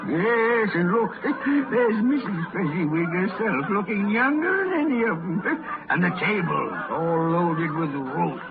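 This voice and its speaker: A man's voice: high voice, lively intonation, measured pace, vocal fry, exaggerated voice. An actor playing a shaken old miser in a 1930s radio drama, theatrical and exclamatory, repeating his words. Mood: delighted nostalgia. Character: old miser, shaken, by turns gleeful and remorseful. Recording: tinny 1930s radio broadcast with music.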